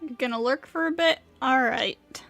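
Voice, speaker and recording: A woman's voice: high voice, normal speed, gravelly, a bit bright. A woman streaming a game, reacting casually and playfully as she chats along. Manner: playful, often chuckling. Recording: faint game music behind. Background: faint game music.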